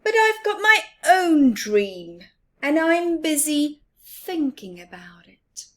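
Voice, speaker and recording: A woman's voice: sits high, very animated, slow, voice pushed up, heavily acted. A woman voicing a dreamy, stubborn, playful little boy mouse in a children's storybook, with an airy, self-important manner.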